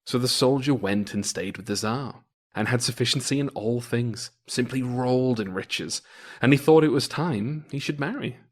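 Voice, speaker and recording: A man narrating a folktale as storyteller in a dramatic, theatrical and expressive manner. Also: mid-range voice, normal speed. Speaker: storyteller, podcast host.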